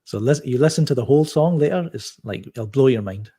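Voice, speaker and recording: A man talking in a soft voice as a podcast guest, telling a story with many halting restarts. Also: mid-range voice, animated, slightly rough. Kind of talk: casual chat.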